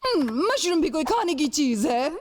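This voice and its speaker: A woman's voice: high-pitched, big pitch swings, moderate pace. A woman voicing a pampered young mermaid in a Hindi cartoon dub, pouty and coquettish. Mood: indulgent. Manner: pouty, indulgent, exclamatory. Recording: loud music under the voice.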